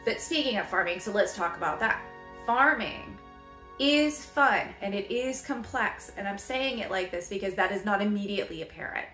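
Woman, 30s, casual game review, animated, chatty, echoey room, background music. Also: medium pitch, moderate pace. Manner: chatty, animated, laughs often. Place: home living room, seated in a chair.

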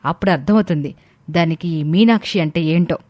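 A woman's voice: lowish voice, fairly quick, a little mellow, no room sound, natural voice. A woman playing a jealous, scheming young wife in a family story, complaining and sarcastic. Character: jealous, bitter young married woman, scheming sister-in-law.

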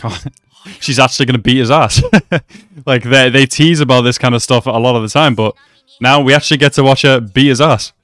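A man's voice: medium pitch, fairly even intonation, fairly quick, fairly bright tone, no room sound, soft background music. A man in his twenties giving slangy, amused reaction commentary in a casual way, close to a desk microphone. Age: 20s. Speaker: reaction video host. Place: small indoor room.

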